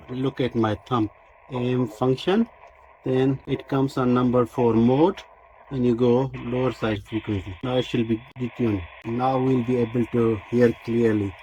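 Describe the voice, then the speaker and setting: A man casually demonstrating a product unscripted, explaining technical details in a halting way. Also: medium pitch, warm tone, slight background noise, poor audio. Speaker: radio reviewer and demonstrator.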